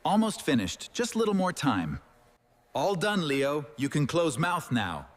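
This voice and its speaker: A man playing a patient cartoon dentist with a fairly high, lively voice. He speaks calm, simple broken English and sounds gentle and reassuring. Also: unhurried, in a large hall.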